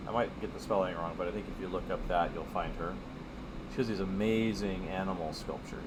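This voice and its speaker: A man's voice: low voice, a little fast, very noisy. A man teaching art on a live stream, chatting casually and wandering off topic while he draws. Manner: chatty and digressive, with many fillers. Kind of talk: casual rambling chat while drawing.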